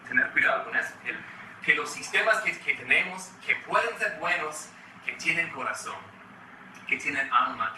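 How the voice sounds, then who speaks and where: Man, American accent, very bright voice, quick with lots of restarts, roomy far-off sound. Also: mid-range voice, a little hiss or hum. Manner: fast-talking, frequent restarts and repeated words. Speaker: children's ministry trainer. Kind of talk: training talk to church workers. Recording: echoey room from far off.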